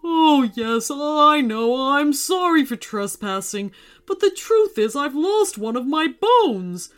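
A giant male skeleton from a storybook, once fearsome but now sheepish, speaking in a polite, apologetic way, as a woman puts him on. His voice is higher than expected and swings widely in pitch. Soft music plays underneath. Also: animated, slow pace. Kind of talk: children's storybook reading.

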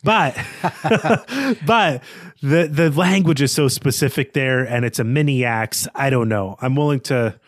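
A man's voice: medium pitch, unhurried, no room sound. Guy podcast cohost chatting about a novel, wry, corrects himself, really dry sound with no room. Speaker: podcast co-host. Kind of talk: casual book discussion.

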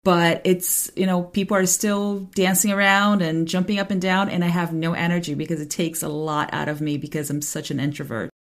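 A Brazilian woman, a translator whose first language is Portuguese, chatting casually in English and laughing often. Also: on the low side. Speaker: podcast host, translator. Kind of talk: casual conversation.

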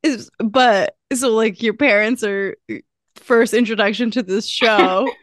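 A woman's voice: fairly high pitch, fairly even intonation, takes it slowly, no echo, clean studio sound. A young woman co-hosting a podcast, chatting casually with many fillers and restarts. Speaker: podcast co-host. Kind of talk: casual chat.

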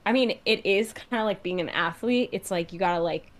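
A young woman, a singer-songwriter, chatting casually as an interview guest, full of "like" and acting out her own reactions. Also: brisk. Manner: chatty, fillers, acts out her own reactions with rising pitch.

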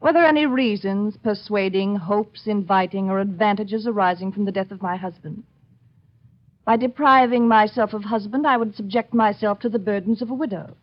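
A woman's voice: medium pitch, normal speed, close to an everyday voice. A woman playing a proud, defiant accused widow in an old radio drama, speaking in formal, haughty rhetoric. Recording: dull, lo-fi old radio recording.

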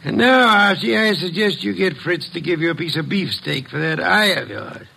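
A man's voice: fairly high pitch, animated, normal speed, creaky, bright, forceful tone, breathy edge, full character voice. A man playing a pompous, irritable genius detective, speaking formally and wordily in an old-time radio drama. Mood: dry, placid. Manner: pompous, wordy, formal, sardonic.

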